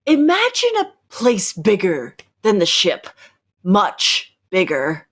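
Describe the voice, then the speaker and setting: A woman playing a knowing, imperious rebel captor who speaks deliberately and probingly in a radio sci-fi drama. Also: mid-range voice, huge pitch swings, fairly bright tone, exaggerated voice. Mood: insistent. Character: commanding, knowing rebel captor challenging orthodox belief. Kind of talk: cold-read old-time radio sci-fi drama.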